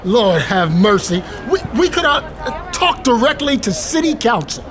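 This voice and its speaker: A man playing an anxious, devout, excitable activist's sidekick in an audio drama, flustered and exclamatory. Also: extremely high pitch, measured pace, a crowd in the background, heavily acted. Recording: music bed under the voice.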